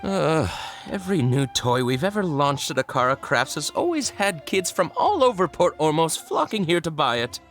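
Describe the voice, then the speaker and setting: A man's voice: on the high side, very animated, moderate pace, hardly any pauses, fairly bright tone, soft background music. A man voicing a flustered, pleading toy shop worker in an anime video game, speaking hesitantly. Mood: dismayed.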